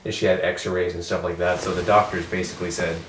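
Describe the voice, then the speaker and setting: A man's voice: low-pitched, little pitch movement, quick pace. A man earnestly sharing a personal story with a men's group. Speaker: group member. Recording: roomy, distant mic, faint noise.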